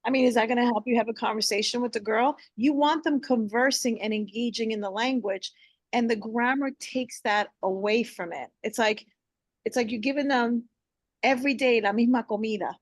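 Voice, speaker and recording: A woman's voice: a little high, normal speed, dry recording. A woman who is a teacher, speaking with conviction in a group discussion and dropping in Spanish phrases. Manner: impassioned, mixes in Spanish phrases. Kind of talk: discussion contribution.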